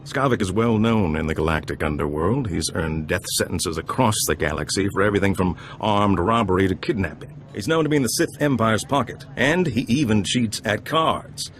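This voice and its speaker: An actor playing a suave older gambler and information broker in a video game, smooth-talking, theatrical and pleased with himself. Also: male voice, lowish voice, moderate pace, few pauses, slight background noise, close to an everyday voice. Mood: confiding. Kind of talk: video game dialogue acting.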